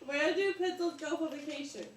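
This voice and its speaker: A woman's voice: high-pitched, moderate pace, crowd noise. A young woman trading corny jokes at a puppet show who is playful and giggly and fumbles her punchlines. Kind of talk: casual joke swapping. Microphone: far camera. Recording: roomy home video from a far camera with clear noise.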